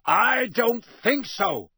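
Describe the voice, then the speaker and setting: A man voicing a gruff, menacing old male wizard professor with a gravelly growl, barking his words in a storybook reading. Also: mid-range voice, lively intonation, slow pace, dry recording, studio quality. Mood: roaring. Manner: barking, clipped, forceful.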